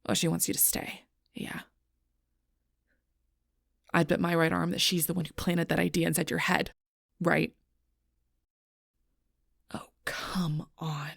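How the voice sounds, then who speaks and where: A woman acts out a wounded, fiercely protective she-werewolf in an audio roleplay. Her voice is husky and full of fry, captured very dry and close. She speaks straight to the listener with bitter, confrontational intensity. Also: on the low side, flat delivery, measured pace, pauses often, a bit bright, dry recording, full character voice. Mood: bitter, irritated. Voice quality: breathy, husky, rough-edged. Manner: intense, confrontational, swearing, often dropping to near-whisper.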